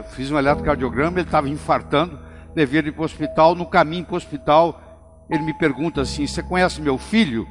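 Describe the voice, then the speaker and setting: Older brazilian guy, motivational speech, slightly high voice, big reverby hall, faint music underneath. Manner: emphatic, slows down to stress key phrases. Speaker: motivational speaker. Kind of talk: motivational talk. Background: faint background music.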